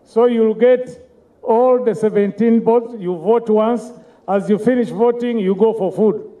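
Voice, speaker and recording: A man's voice: high voice, measured pace, dark tone. A man presiding over a party vote, giving curt time calls and voting instructions. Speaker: presiding officer. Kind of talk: procedural announcements. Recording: tinny phone-like sound.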